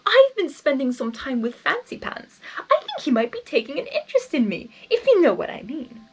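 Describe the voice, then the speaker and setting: Woman voicing a vain elegant cartoon unicorn, coy, lilting pitch. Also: sing-song, moderate pace.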